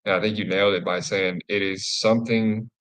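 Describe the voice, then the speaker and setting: A man's voice: fairly low pitch, fairly even intonation, a little fast, fairly bright tone. A man co-hosting a live men's group talk, laid-back and casual, swearing easily. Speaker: co-host.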